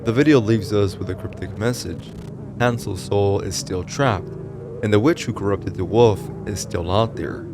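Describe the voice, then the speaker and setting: A man with a fairly low voice narrates a scripted explainer of a horror tale. His delivery is dramatic and ominous, like a storyteller setting out a dark account. Music plays clearly underneath his voice. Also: moderate pace. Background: music behind the voice.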